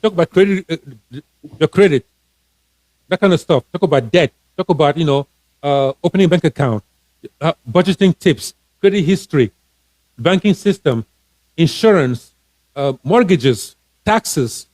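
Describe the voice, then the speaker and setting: Man from cameroon, coach type, earnest and encouraging, keeps restarting words, voice on the higher side, dry close sound. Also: animated, moderate pace, long pauses, a little mellow, no room sound. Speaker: financial coach, author. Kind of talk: casual live-stream advice talk.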